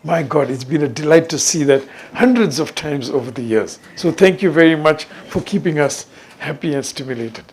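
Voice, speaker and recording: An older man giving an unscripted, deliberate talk to students as a professor, repeating phrases for emphasis. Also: on the high side, animated, a little hiss or hum. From South Africa. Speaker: professor and rheumatologist speaking on a panel.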